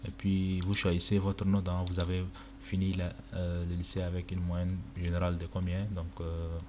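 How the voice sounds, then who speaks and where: Low, flat-voiced young man from Chad. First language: Arabic. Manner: casual, halting, many fillers. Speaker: tutorial presenter. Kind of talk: screen-recorded walkthrough. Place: indoor room at a computer. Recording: noisy home recording with keyboard clicks.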